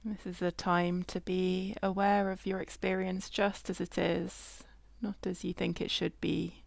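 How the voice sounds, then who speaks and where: Woman meditation guide, very slow pace, even narrow intonation, gentle, long pauses, dry close sound. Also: on the low side, vocal fry, dry recording. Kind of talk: guided body scan meditation, slow and spacious.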